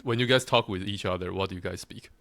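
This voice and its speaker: Low-voiced Taiwanese man interviewing. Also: fast, a bit bright, no room sound. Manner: brief, affirming with short remarks. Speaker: interviewer, host. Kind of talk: casual interview questions.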